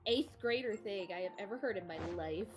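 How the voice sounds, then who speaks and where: A woman's voice: mid-range voice, a little fast. A young woman chatting casually during a gaming stream in a playful, teasing way. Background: game music.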